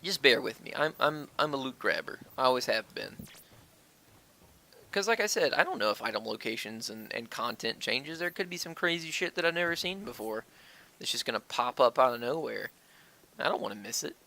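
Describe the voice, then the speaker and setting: A man's voice: mid-range voice, expressive, a little fast, vocal fry, bright, forceful tone. A man giving casual video game commentary as host, rambling off on tangents and swearing crudely, recorded on an old, flawed stand-by mic. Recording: wonky, flawed stand-by microphone audio.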